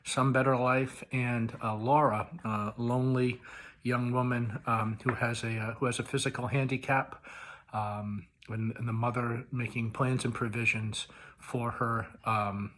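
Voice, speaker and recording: A man's voice: medium pitch, little pitch movement, unhurried, fairly bright tone. A man presenting a read-along, narrating a play aloud with informal asides. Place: at home.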